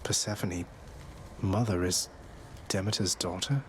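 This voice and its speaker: An actor playing a young underworld prince in a video game, courteous, dry and earnest in an understated way. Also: male voice, deep voice, unhurried, a little hiss or hum. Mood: concerned. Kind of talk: video game character acting.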